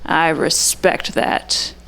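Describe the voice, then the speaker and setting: A woman's voice: drawn-out words, fairly bright tone. A woman playing a blunt, observant 1940s private eye in a noir radio drama, talking tough, plain slang. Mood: earnest. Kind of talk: noir radio drama acting with inner monologue.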